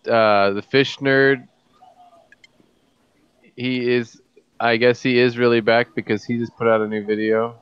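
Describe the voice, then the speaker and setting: A man with a smooth, resonant voice talks hesitantly, stammering through restarts before he gets his point out. His sound is dry and a little dull, like a lo-fi call connection. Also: mid-range voice, level tone, measured pace, long pauses, dry recording. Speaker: video call participant. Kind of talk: casual chat.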